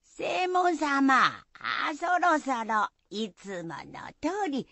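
A woman's voice: high voice, big pitch swings, very slow, sharp tone, no echo, exaggerated voice. An actress playing a chatty, curious village woman in a radio folktale, with folksy country speech. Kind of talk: radio drama acting.

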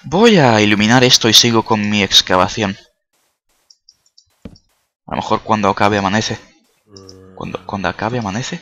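A man's voice: fairly low pitch, moderate pace, pauses often, pushed and bright, slight background noise. A man who is not a native Spanish speaker, chatting casually while playing a video game, joking and swearing. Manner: chatty, swears a lot, jokey, startled outbursts. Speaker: gaming video creator. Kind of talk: casual let's-play commentary.

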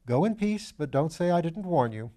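A man's voice: on the high side, lively intonation, normal speed, mellow, voice pushed up, natural voice. A man voicing a flippant, shrewd aristocratic detective, breezy and assured, in a storybook reading. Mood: urgent, assured. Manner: breezy, self-deprecating, assured.

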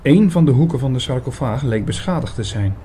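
A man's voice: medium pitch, lively intonation, brisk, warm tone, slight background noise, natural voice. A man playing an attentive investigator who narrates his own story in a scripted radio drama. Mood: measured, reflective. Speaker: narrator and lead actor in an audio drama.

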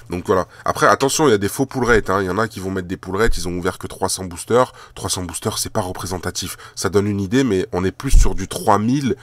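A man's voice: fairly low pitch, a bit bright, no room sound. Man with a cold, chatting casually. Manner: rambling, many fillers and repeated words. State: has a cold. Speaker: card collector and shop owner. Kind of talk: casual video chat while handling cards. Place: indoors at a table covered in trading cards.

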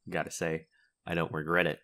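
A man's voice: low-pitched, flat delivery, unhurried, bright, forceful tone. A man playing a grumpy, easily flustered vampire in a comedy audio roleplay, sarcastic and huffy in manner. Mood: dry, relaxed. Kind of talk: comedy ASMR roleplay acting.

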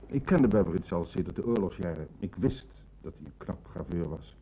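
An actor playing a courteous, troubled senior official in a radio play, speaking formally and hesitantly. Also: male voice, low-pitched, animated, fairly quick, slightly rough, heavily acted. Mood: shaken, troubled. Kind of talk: Dutch radio drama acting. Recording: dull, noisy old radio recording.

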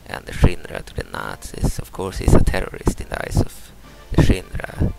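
Deep gravelly Swedish man commenting gameplay. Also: sing-song, over loud music, poor audio. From Sweden. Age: 30s. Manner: halting, repeats words and restarts, explains moves step by step. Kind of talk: casual let's-play commentary.

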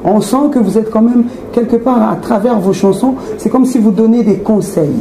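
A woman's voice: fairly high pitch, normal speed, a crowd in the background. A woman interviewer asking questions in a hesitant way, with fillers. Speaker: interviewer host. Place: small indoor studio room. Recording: roomy sound with faint ambient noise.

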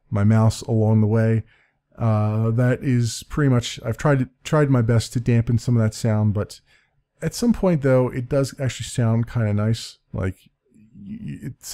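Deep-voiced man rambling through a tutorial. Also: mellow. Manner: rambling and self-correcting, with small laughs. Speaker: let's play creator explaining his audio setup. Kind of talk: casual screen-recorded tutorial. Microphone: desk-mounted condenser mic with pop filter.

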